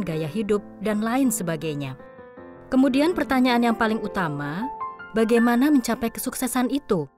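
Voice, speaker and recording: A woman reading scripted storytelling narration for an audio drama. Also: medium pitch. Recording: loud music behind the voice. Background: music.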